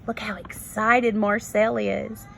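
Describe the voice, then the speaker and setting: Slow, creaky-voiced woman teacher reading aloud. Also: medium pitch, a little hiss or hum. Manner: expressive, instructive, prompting listeners with questions. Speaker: kindergarten teacher. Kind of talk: read-aloud lesson for young children.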